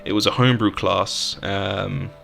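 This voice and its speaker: A man from the UK chats casually as a podcast co-host, telling meandering stories dotted with "um"s. His voice sits low yet sounds bright and forceful. Faint music plays underneath. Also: steady tone, unhurried, gravelly. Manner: rambling, storytelling, frequent "um". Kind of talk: casual chat about tabletop games.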